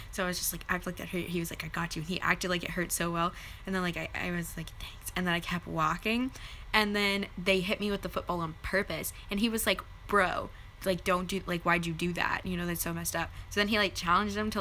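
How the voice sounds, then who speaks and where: A young woman tells a rambling story, her nose stuffed up from a head cold and her sentences full of fillers. Her voice sits a little low for a woman but comes across bright and forward. A faint noise hums under the recording. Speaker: vlogger. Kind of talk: casual dream storytelling.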